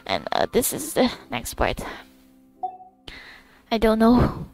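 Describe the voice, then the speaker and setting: A woman gamer giving a casual, halting, rambling recap over her gameplay. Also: on the high side, huge pitch swings, creaky, a little mellow, faint music behind. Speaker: gamer recording her playthrough.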